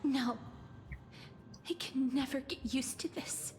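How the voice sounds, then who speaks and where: A very high, girlish young figure in a video game, tormented by the death she must deal. Her delivery is slow and halting, with clear noise in the recording. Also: fairly even intonation. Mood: distressed. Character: tormented young girl who dreads dealing death. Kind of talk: dramatic video game voice acting.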